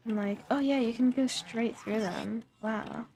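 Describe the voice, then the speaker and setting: A woman's voice: fairly high pitch, fairly even intonation, measured pace, vocal fry, darkish tone. A young woman commenting casually on her gameplay, thinking aloud in a hesitant way with many fillers and trailing phrases. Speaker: game streamer.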